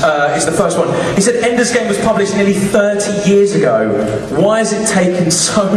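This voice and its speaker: A man hosting a live question and answer event in a large cinema, heard over an audience. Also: fairly high pitch. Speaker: event host introducing guests and putting questions. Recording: very echoey cinema, distant mic, crowd noise. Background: audience noise.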